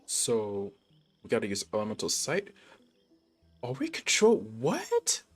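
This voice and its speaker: A man's voice: mid-range voice, wildly swooping pitch, slow pace, soft background music. A man giving casual, slangy live commentary as he streams a game, often exclaiming in reaction.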